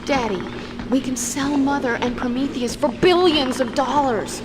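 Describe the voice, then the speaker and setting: An actress playing an ambitious, impatient young crime heiress in a video game, brash and defiant. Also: female voice, measured pace. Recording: loud machine rumble behind voice.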